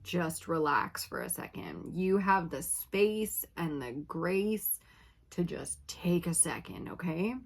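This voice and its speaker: A woman reading tarot for her viewers in a casual, chatty way, her voice slightly raspy from hours of talking, often checking in with "okay?". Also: fairly low pitch, animated, takes it slowly. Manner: chatty, laughing, uses filler like "okay?". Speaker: tarot reader.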